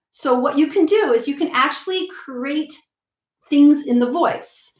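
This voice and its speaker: Chatty woman voice teacher, roomy sound. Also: fairly high pitch. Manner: chatty, explains with repeats and restarts. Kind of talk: casual teaching talk to camera.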